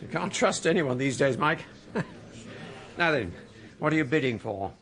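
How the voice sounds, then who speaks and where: An actor playing a genial, worldly older gentleman with a wry, cynical manner in an audio drama. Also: a little high, lively intonation, moderate pace.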